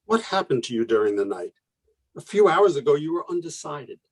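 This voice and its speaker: A man's voice: on the high side, very animated, a little fast, breathy edge, rough recording. An actor playing a troubled man in a radio play, earnest and challenging as he argues. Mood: concerned, challenging. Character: troubled man arguing against a loved one's conversion.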